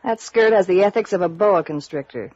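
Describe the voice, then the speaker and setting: A woman's voice: fairly low pitch, expressive, fairly quick, darkish tone, no room sound. An American woman playing a sassy, resentful, sardonic small-town office worker in a 1950s radio noir drama. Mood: catty, resentful. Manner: slangy, sardonic, catty. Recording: dull, dry vintage radio recording.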